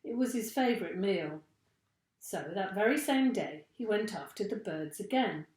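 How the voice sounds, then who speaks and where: A woman reading a children's story aloud like a teacher, with expressive, deliberate narration. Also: medium pitch, echoey room.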